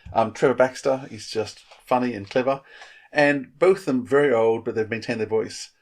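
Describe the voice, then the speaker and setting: A man's voice: mid-range voice, normal speed. Enthusiastic male podcast co-host. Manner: enthusiastic, rambling. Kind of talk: casual podcast chat.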